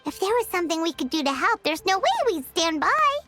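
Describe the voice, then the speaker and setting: Tiny game fairy, very high-pitched, bright and sharp, bubbly, exclamatory. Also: female voice, sing-song, a little fast, faint music behind, heavily acted. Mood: eager. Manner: bubbly, exclamatory, talks about herself in third person. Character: tiny excitable floating fairy companion, female. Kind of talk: video game voice acting.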